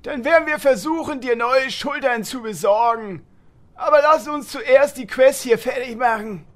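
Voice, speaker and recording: Man playing a very high-voiced bossy adventurer. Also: moderate pace. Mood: exasperated, practical. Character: bossy, pragmatic male fantasy adventurer in game parody. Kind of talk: comedic audio drama acting.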